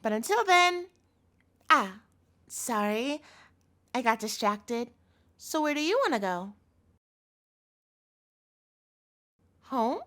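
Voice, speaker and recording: A woman's voice: a little high, sing-song, slow pace, pushed and bright, heavily acted. A woman playing a doting, possessive yandere girlfriend in a scripted audio roleplay, coaxing and pleading in a cloying manner. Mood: sugary, evasive.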